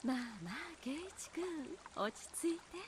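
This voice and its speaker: A woman's voice: high-pitched, big pitch swings, fast, noisy background. An actress voicing a composed, knowing and teasing adult woman in a mystery game. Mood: soothing.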